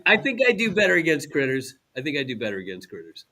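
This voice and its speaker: A man's voice: medium pitch, wildly swooping pitch, fast talker, bright, forceful tone. A man chatting casually as a panelist on a talk show. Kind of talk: casual chat.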